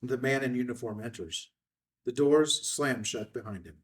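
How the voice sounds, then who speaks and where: A man's voice: medium pitch, moderate pace, far from the mic. A man reading scene headings and stage directions in a clipped, scripted narration at a table read.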